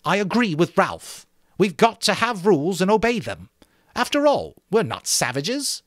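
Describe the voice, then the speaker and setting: A man acts out a proud, contemptuous schoolboy leader in an adventure storybook. He speaks high and animated, fierce and commanding with the other boys. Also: normal speed, no room sound, studio quality. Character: Jack, bossy, proud, contemptuous English choir-leader schoolboy.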